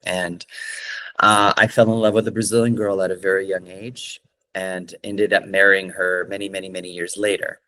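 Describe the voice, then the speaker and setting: A man's voice: sits low, flat delivery, moderate pace, sharp tone, low-quality recording. A man from California, a singer-songwriter answering interview questions in an earnest way, with many fillers and restarts.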